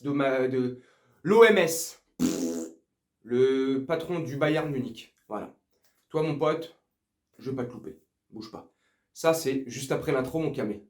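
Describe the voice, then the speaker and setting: A man's voice: a little high, darkish tone. A man giving a casual football rant to viewers, crude, slangy, teasing and confrontational. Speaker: football fan video host. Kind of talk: casual ranting commentary to viewers. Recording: echoey room.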